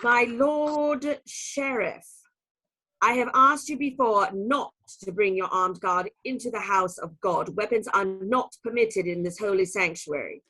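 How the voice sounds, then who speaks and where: An actress playing an earnest, proud and stern nun in a radio play, with a prim, formal, firm delivery. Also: female voice, medium pitch, measured pace, pushed and bright, rough recording.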